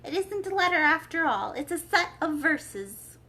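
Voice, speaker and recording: A high, bright storybook rabbit played by a woman reading aloud. He is eager and fussy, always respectful, with a flustered, hurried manner. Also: very animated, full character voice, low-quality recording. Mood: fussy, excited. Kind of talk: storybook character read-aloud.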